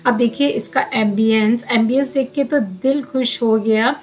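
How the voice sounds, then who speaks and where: A woman's voice: on the high side, normal speed, heavy background noise. A woman in her forties vlogging on a phone held at arm's length, chatting casually and mixing in English phrases with lots of fillers. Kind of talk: casual vlog narration. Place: car and busy restaurant. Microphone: phone camera at arm's length.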